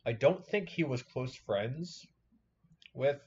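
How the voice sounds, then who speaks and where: A man's voice: mid-range voice, animated, slow, a little mellow, husky. Blunt man in a dull recording. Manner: blunt, joking, rambling. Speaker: commentator reacting to a video. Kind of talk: casual, profane reaction commentary.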